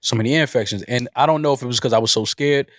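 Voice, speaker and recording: A man's voice: mid-range voice, very fast, dry recording. Male co-host, stuffy nasal voice from a cold, playful teasing delivery, dry close mic. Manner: playful, teasing, loud bursts when joking. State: congested, a little nasal from a cold. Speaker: podcast co-host. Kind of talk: casual podcast chat.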